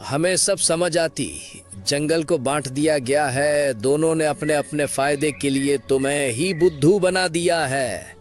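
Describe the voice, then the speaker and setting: A man's voice: fairly high pitch, measured pace, faint music behind, close to an everyday voice. A man voicing a solemn foreign camel peacemaker in a cartoon, earnest, with slightly broken grammar. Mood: solemn, concerned. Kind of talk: animated moral-story character acting.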